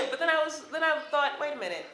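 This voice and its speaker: A woman's voice: high-pitched, animated, fairly quick, roomy sound, heavy background noise. A woman from Barbados, raised in Brooklyn, asking a rambling, chatty question from the audience and laughing often. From Barbados, raised in Brooklyn, New York. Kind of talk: casual question from the floor.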